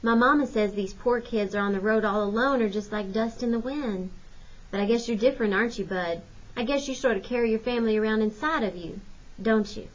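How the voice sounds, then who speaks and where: A woman's voice: fairly high pitch, brisk, highs cut off, close to an everyday voice. A woman reading a storybook in the role of a sweet, frank and inquisitive ten-year-old girl. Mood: frank, probing.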